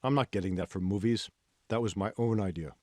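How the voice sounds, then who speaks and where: A man's voice: on the low side, a little fast, a little mellow, dry recording, clean studio sound, pitched below the performer's own voice, close to an everyday voice. A man voicing a discouraged, self-pitying college computer whiz in an audiobook, moaning and reluctant. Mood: glum, defeated. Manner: moaning, glum, reluctant short answers. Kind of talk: audiobook character dialogue.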